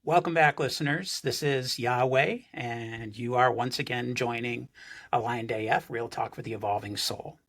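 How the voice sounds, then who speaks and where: Husky, gravelly-voiced male podcast host. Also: medium pitch, normal speed, fairly bright tone. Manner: earnest, with frequent fillers and restarts. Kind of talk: interview podcast.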